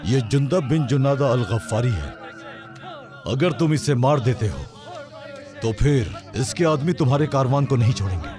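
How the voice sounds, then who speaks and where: A man's voice: mid-range voice, expressive, moderate pace, close to an everyday voice. An actor dubbing a shrewd city nobleman who firmly reasons with a crowd, warning them of the consequences. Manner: firm, reasoning, persuasive. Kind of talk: dubbed historical drama acting. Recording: crowd and music under dubbed voice.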